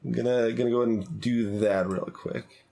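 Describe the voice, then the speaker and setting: A man giving casual, halting playthrough commentary as a game streamer, thinking aloud in an analytical, musing way. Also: medium pitch, steady tone, gravelly, mellow.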